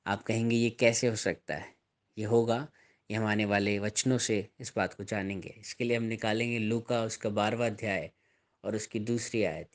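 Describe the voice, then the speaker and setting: Man, mid-pitched voice, preacher, deliberate emphatic delivery, scripture sermon. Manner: emphatic, deliberate, repeats and explains phrases.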